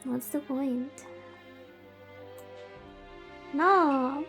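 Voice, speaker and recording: A woman with a high-pitched voice streams a visual novel and chats casually to viewers. She takes her time, speaking slowly with a playfully dramatic, lamenting manner. Soft music plays behind her. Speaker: VTuber streamer, host. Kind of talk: casual livestream chat while playing a visual novel. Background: background music.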